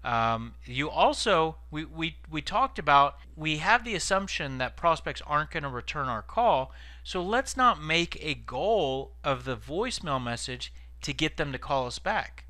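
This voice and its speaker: A man's voice: fairly high pitch, very animated, unhurried, sharp tone, no echo. A man giving informal instructional tips as a sales trainer, explaining conversationally and stressing key words.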